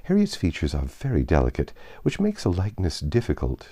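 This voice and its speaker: A man reading a classic novel aloud and voicing a confident young gentlewoman who talks in a poised, lightly ironic way. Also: low voice, huge pitch swings, fairly quick, full character voice.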